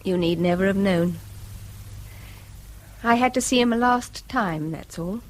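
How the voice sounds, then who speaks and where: An actress playing a restrained, wistful middle-aged English wife in a radio drama, with a breathy, husky edge. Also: female voice, medium pitch, unhurried, close to an everyday voice. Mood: subdued confession.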